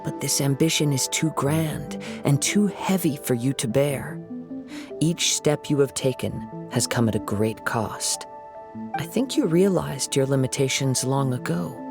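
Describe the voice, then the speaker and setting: A principled young swordsman in a video game drama. He has a mid-pitched voice with a creaky edge and speaks in composed, formal sentences, earnest and reasoning. Faint music runs behind him. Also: measured pace. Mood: grave, reproachful.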